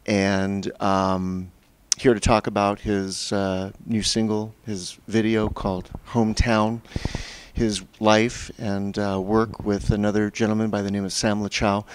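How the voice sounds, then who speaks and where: Smooth-voiced male talk show host. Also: lowish voice, dry recording. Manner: halting introductions with frequent ums and uhs. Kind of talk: hosting an interview.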